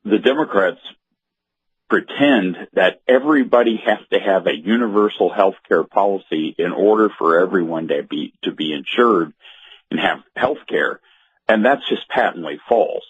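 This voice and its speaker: Muffled, deep-voiced man on radio. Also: normal speed, breathy edge. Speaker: radio call-in guest. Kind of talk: political commentary on talk radio. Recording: muffled, narrow phone-like radio audio.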